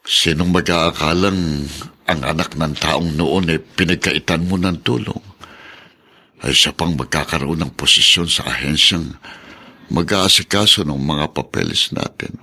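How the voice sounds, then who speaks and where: A resigned older man in a radio drama who drives a tricycle to get by, played by an actor. His voice is low yet bright, with a husky grain. He talks plainly and wearily. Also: expressive, measured pace, exaggerated voice.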